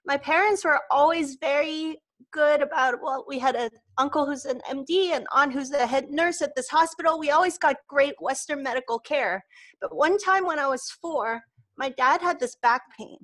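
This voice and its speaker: A woman's voice: high-pitched, normal speed, a bit bright. A woman from Hong Kong speaking as an interview guest, telling her story in a gracious, informal way. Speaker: podcast guest, holistic medicine doctor and author. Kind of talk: conversational personal storytelling in an interview.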